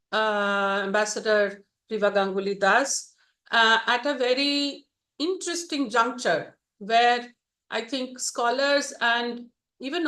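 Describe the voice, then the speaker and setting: A woman chairing a seminar on a video call, introducing the speaker in slow, measured academic phrasing. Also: on the high side, fairly bright tone.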